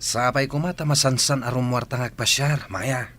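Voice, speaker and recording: An actor playing a cheerful, generous young suitor in a radio drama, with a Filipino accent. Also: male voice, medium pitch, fairly even intonation, moderate pace, a bit bright, close to an everyday voice. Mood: eager, playful.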